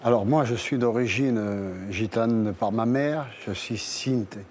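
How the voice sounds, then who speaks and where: A man appearing as a talk show guest, answering in measured, hesitant sentences. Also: mid-range voice, a little mellow, slight background noise. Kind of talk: studio interview answers. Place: television studio.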